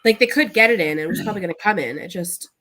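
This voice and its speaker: A woman's voice: lowish voice, very fast, pushed and bright. A woman panelist with a blocked, congested nose, chatting casually and laughing easily. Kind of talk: casual chat.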